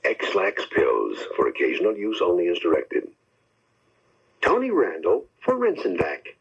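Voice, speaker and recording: A man announcing slogan-driven radio commercials in a husky voice. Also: sits low, huge pitch swings, unhurried. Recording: dull old radio recording.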